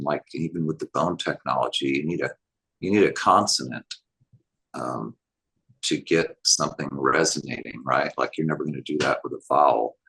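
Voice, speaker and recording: A man with an exceptionally deep voice rambles through a casual share, full of loose asides. His tone is husky and breathy. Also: a bit bright, poor audio. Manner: rambling, many fillers and asides. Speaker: group call participant. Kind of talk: casual chat.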